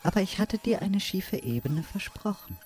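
A man playing a knowing guide through memories in a radio play, speaking intimately and in a measured, theatrical way. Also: sits high, lively intonation, a little mellow, faint music behind. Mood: knowing, reflective. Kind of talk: experimental radio play acting.

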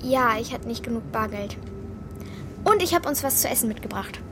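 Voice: medium pitch, animated, moderate pace, background noise, natural voice. A performer playing a bold, resourceful young girl in a children's radio play, blunt and self-assured. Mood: confident, bossy.

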